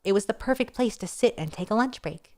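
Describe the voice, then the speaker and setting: A woman narrating a first-person story aloud in an expressive, playful style, with wry casual asides. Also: medium pitch, brisk. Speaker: streamer reading a novel aloud.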